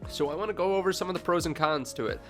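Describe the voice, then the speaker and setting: A man's voice: on the high side, racing, a bit bright, soft background music. A congested young man in his twenties hosting a finance channel, talking casually to camera with many fillers and restarts. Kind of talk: casual direct-to-camera talk.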